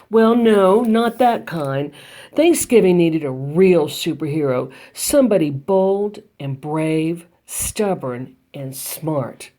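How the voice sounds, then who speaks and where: Unhurried woman reading a picture book. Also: on the low side. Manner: expressive, emphatic, with pauses for effect. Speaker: library storytime reader. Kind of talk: children's picture book read-aloud.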